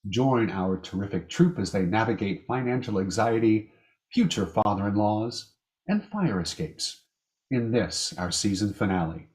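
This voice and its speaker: Low-voiced man hosting a radio show. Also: animated, a little mellow. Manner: measured, announcer-like reading. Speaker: host. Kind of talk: scripted show introduction.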